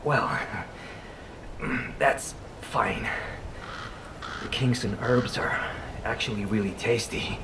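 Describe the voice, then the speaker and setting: A man playing a wounded but steadfast soldier in a video game, talking slowly. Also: gravelly. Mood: weary. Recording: rumbling noise behind.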